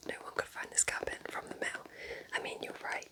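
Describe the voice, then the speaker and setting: A woman whispers breathily in a gentle, chatty ASMR roleplay as a kind witch at home in her cabin. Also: brisk. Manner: gentle, chatty, informal asides.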